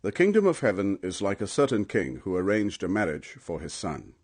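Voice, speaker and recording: A man with a fairly low voice reads scripture as an audiobook narrator. His delivery is solemn and emphatic, like formal storytelling. The intonation moves widely, giving the reading a dramatic, animated shape.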